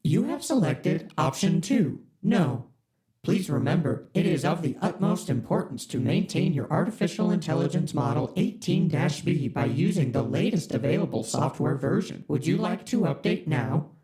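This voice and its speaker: A chipper spaceship computer played by an actor reads out company prompts in a formal announcer manner. Its pitch swings lively up and down. The voice sits in a roomy, echoing space. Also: moderate pace. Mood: prompting. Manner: formal, scripted announcer delivery. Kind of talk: audio drama acting. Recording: roomy echo.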